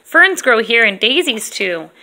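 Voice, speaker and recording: Bright, higher-voiced mother reading to kids. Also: a little slow. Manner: expressive, encouraging, simple child-directed phrasing. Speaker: mother reading aloud to her young children. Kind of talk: read-aloud storytelling mixed with casual chat with children.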